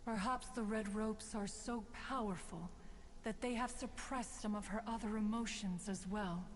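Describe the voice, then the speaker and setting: A woman's voice: mid-range voice, steady tone, moderate pace, long reverb tail, slight background noise, lightly acted. An actress voicing an ancient female crane spirit in a game, speaking in formal, archaic phrasing. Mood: lofty. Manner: formal archaic speech, refers to herself as one. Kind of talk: game character dialogue.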